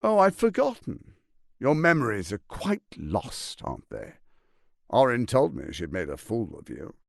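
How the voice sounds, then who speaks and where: A scheming male archduke for a video game, his delivery swinging dramatically from hushed lows to soaring highs. There is a gravelly creak under the silky, pompous menace. The recording is close and dry, every phrase placed with theatrical relish. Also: wildly swooping pitch, unhurried, dry recording, heavily acted. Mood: silky, mocking. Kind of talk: video game character acting.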